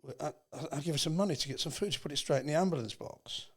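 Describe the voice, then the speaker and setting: A man's voice: fairly high pitch, very fast, breathy edge. English man telling a heartfelt personal story. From England. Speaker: podcast guest.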